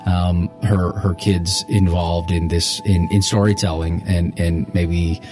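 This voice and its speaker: A man's voice: low-pitched, level tone, slow. A middle-aged man co-hosting a podcast in casual chat, rambling and repeating words as he works through a thought. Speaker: podcast host and writer. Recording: music playing behind the voice. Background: music.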